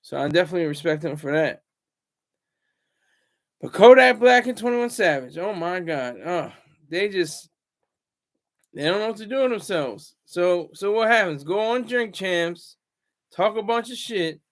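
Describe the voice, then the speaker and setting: A man hosting a casual solo commentary vlog, rambling and restarting his words, swinging between loud bursts and low asides. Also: animated, unhurried, pauses often. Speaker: commentary channel host.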